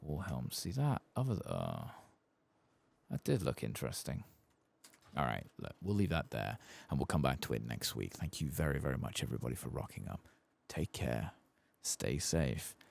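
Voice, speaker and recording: Man, very low pitch, strong creak, soft-spoken, ASMR game commentary, casual, musing, understated. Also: sing-song, normal speed. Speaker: gaming ASMR host.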